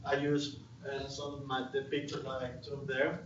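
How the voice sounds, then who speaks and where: A man's voice: medium pitch, monotone, moderate pace, noisy background. A young man from Ecuador with a Latin American Spanish accent gives a conference presentation on his research, hesitant with frequent fillers and restarts. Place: lecture room. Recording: distant mic, roomy hall, dull sound.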